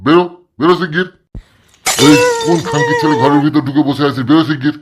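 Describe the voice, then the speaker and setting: Man acting a gruff, high-pitched Bengali village father. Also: huge pitch swings, fast talker, background noise. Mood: furious. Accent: West Bengal Bengali. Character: gruff, protective village father, quick to foul-mouthed rage. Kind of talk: amateur comedy cartoon dubbing.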